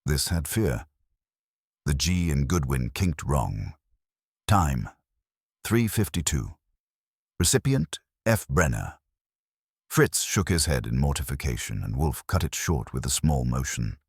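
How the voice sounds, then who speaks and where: A man reading a scripted first-person detective story as an audiobook narrator, in a wry, deadpan manner. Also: rumbling low, a little slow, long pauses, breathy edge, dry recording, studio quality.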